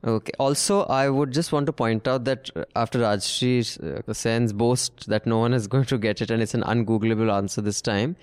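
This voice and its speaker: Guy cohosting a podcast, dry teasing humor, average pitch. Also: steady tone, moderate pace, few pauses, clear, resonant tone. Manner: wry, teasing, cuts in. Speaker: podcast co-host. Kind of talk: casual chat, reading listener email.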